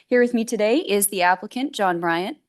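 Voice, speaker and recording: A woman's voice: mid-range voice, brisk, darkish tone. A woman lawyer addressing a commission formally, polite and practiced. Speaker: lawyer for a company.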